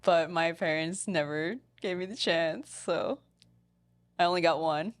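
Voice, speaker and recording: A woman's voice: on the low side, unhurried. A young adult woman speaking as a guest in a casual, conversational debate.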